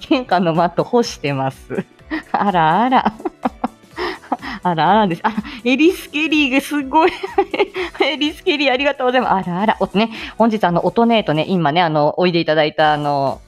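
A woman hosting a casual live morning stream, chatting and greeting listeners in a bubbly, giggly, self-deprecating way. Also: medium pitch. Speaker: speech-language therapist.

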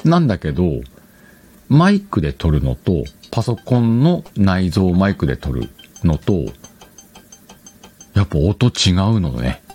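A man's voice: sits low, big pitch swings, unhurried, pauses often, warm tone, breathy edge, soft background music. A man hosting a solo podcast in a casual chat, playfully talking to himself and correcting himself as he goes. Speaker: podcast host. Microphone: external microphone, recorded on a computer.

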